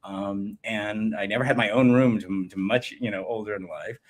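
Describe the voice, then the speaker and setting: Low-pitched American man telling personal stories. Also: fairly even intonation, moderate pace. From United States. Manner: chatty, rambling, peppered with "you know". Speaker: podcast guest. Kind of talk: casual conversational interview, personal storytelling.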